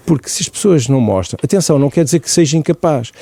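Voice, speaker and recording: A man giving measured, reflective commentary on a radio show. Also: on the high side, expressive, mellow, no echo.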